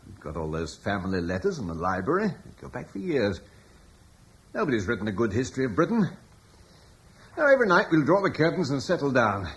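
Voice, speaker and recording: An actor playing a calm, commanding middle-aged husband and guard leader, warmly reassuring, in a radio drama. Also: male voice, medium pitch, a little fast, slightly rough.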